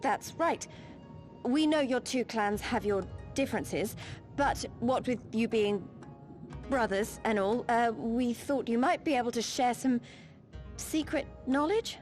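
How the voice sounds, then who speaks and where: A woman's voice: a little high, unhurried, darkish tone, soft background music, close to an everyday voice. An actress playing a sincere, plainspoken young woman warrior in a video game, direct and informal. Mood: earnest. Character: earnest, plainspoken young woman warrior, warm-hearted and determined.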